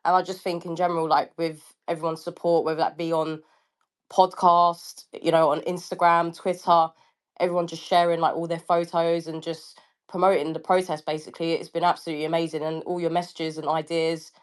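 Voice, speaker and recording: Low, croaky-voiced woman. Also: flat delivery, brisk. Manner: enthusiastic, rambling. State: slightly croaky voice. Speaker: fan group organiser. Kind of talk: casual online audio chat.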